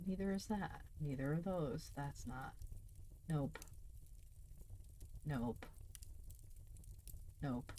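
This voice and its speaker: Casting: female livestream storytime host, lowish voice, calm and chatty, casual delivery. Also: a little hiss or hum. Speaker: livestream host reading stories aloud.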